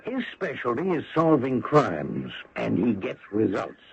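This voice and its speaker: An actor playing a hard-nosed, insistent American small-town official in an old radio drama, emphatic and persuasive. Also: male voice, mid-range voice, big pitch swings, measured pace, vocal fry, husky, heavily acted. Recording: dull vintage radio broadcast recording.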